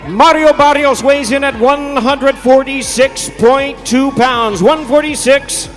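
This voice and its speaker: A man announcing a boxing weigh-in with a projected, drawn-out shout in a reverberant hall. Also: extremely high pitch, soft background music. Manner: projected, drawn-out name calls. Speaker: ring announcer. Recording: long echoing hall reverb.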